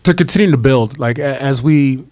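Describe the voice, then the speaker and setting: A man in his forties, a basketball coach answering postgame press questions at a table mic, talking in a measured way with many restarts. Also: medium pitch, no echo. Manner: repetitive restarts, steady and measured. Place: press conference room, seated at table. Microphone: table mic on stand.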